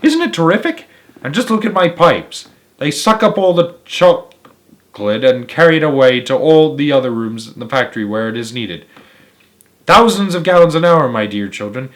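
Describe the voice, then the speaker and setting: An excitable, eccentric factory owner out of a children's storybook, acted out by a man. He shows off proudly and gushes in exclamations, pleased with every marvel he presents. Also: fairly high pitch, moderate pace, voice pushed up. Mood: proud, enthusiastic. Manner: exclamatory, showy, gushing. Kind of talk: storybook character voice.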